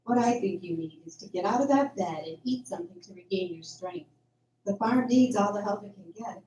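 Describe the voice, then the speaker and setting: A woman's voice: mid-range voice, a little fast, dark tone, lo-fi sound, close to an everyday voice. A woman playing an affectionate, encouraging young farm woman in an amateur radio drama. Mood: gently dismissive. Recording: echoey room, faint background noise.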